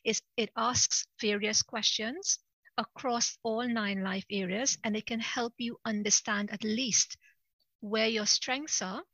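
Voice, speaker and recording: A woman's voice: a little high, steady tone, measured pace, sharp tone, no echo, poor audio. A middle-aged woman from Trinidad, a guest coach in a casual interview, talking earnestly with easy laughs. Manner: earnest, repeats words, laughs easily.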